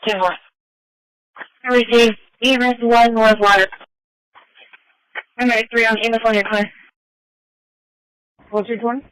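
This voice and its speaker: A woman's voice: a little high, flat delivery, moderate pace, pauses often, fairly bright tone, smooth, no echo, poor audio. A woman working as a police dispatcher, speaking in clipped radio calls over a two-way radio.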